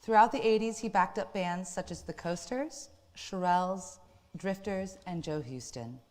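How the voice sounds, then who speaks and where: A woman's voice: fairly low pitch, measured pace, a little mellow. A woman hosting a variety show, introducing segments and guests in a scripted manner. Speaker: show host. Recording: long hall-like reverb.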